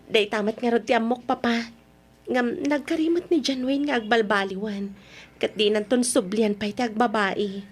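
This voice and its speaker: A woman's voice: normal speed, slightly rough. A young woman acting a heartbroken, betrayed girlfriend in a radio drama, with a tearful, pleading, melodramatic delivery. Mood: subdued, hopeful. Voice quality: tearful, very high voice.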